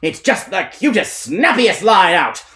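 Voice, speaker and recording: A harsh frantic street preacher. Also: male voice, very animated, a little slow, exaggerated voice. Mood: ecstatic. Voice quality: harsh, hollering. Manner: shouted sales-pitch preaching. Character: harsh-voiced male revivalist preacher, brash and frantic.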